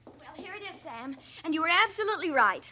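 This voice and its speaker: Female, ditzy sweet young secretary, extremely high and animated, breathless girlish confusion, 1940s radio, dull sound. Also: brisk. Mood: muddled, insistent. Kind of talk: 1940s radio comedy acting. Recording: muffled vintage 1940s radio recording.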